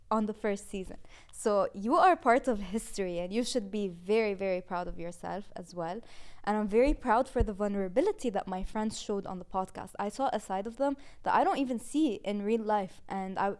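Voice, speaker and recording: A woman in her twenties, a podcast host, talking casually and warmly about finishing a season, with frequent "like"s. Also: medium pitch, clear, resonant tone. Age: 20s. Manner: casual, chatty with many "like"s and laughs. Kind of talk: heartfelt season-finale reflection.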